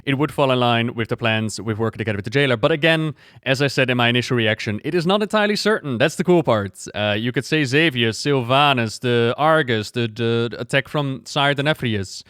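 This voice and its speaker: Excited man with a smooth, clean voice. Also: medium pitch, brisk, hardly any pauses, dry recording, clean studio sound. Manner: excited, speculative, conversational. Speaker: gaming lore video creator. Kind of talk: casual reaction commentary.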